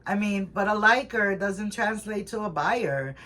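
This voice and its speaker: A woman's voice: mid-range voice, animated, a little slow, bright, forceful tone. A woman co-hosting a casual reselling chat, talking in a rambling way with lots of fillers and restarts. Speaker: co-host of a reselling channel.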